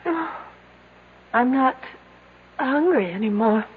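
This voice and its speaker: Despairing, tearful young woman in radio play; high, slow delivery, tinny muffled sound. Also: expressive, slightly rough, full character voice. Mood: anxious, weak. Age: young adult. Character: despairing young woman trapped in a cave, bitterly fatalistic. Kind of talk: 1970s radio drama acting.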